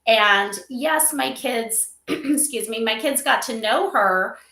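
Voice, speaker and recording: A middle-aged woman giving conversational interview answers as a podcast guest, animated and emphatic, often checking in with "right?". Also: a little high, takes it slowly, roomy sound, rough recording. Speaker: podcast guest, spiritual advisor for an AI company.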